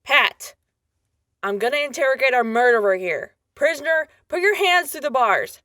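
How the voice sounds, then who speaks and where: A tough, bossy sheriff, acted by a woman in a wasteland radio drama. The voice is fairly high and dryly recorded, gruff and sarcastic in manner. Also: animated, moderate pace, sharp tone, dry recording, studio quality. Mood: stern, sarcastic. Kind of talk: post-apocalyptic radio drama acting.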